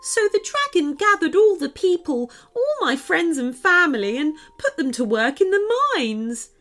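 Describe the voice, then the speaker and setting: A woman's voice: very high voice, sing-song, a little slow, a bit bright, voice pushed up, heavily acted. A woman voicing a small, frightened pointy-eared girl from a storybook, speaking in a shaky, tearful, earnest voice.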